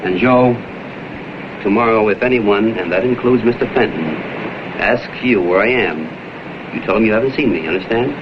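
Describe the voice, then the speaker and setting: A man's voice: fairly low pitch, normal speed, natural voice. A man playing a purposeful amateur detective in a vintage TV crime drama, matter-of-fact and instructive. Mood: instructive, determined. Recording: dull, noisy vintage TV soundtrack.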